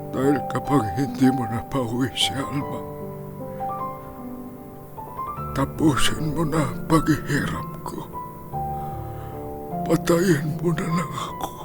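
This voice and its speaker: A man's voice: mid-range voice, fairly even intonation, measured pace, over loud music, natural voice. A man playing a weary, despairing older Filipino grandfather in a radio drama, pleading melodramatically. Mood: weary, grave. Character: weary, despairing grandfather begging to end his suffering.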